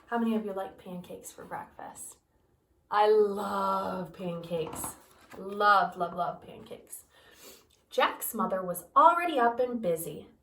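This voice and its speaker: A woman who teaches kindergarten reads a picture book aloud, pausing to explain things gently to young children. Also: medium pitch, animated, distant mic. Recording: echoey room, voice off-mic.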